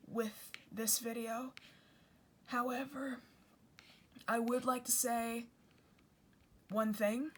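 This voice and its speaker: Woman w/ kinda high voice doing a casual explainer, earnest, chatty, fixes her own mistakes. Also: darkish tone, husky. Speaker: video creator and commentator. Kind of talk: informal explainer commentary.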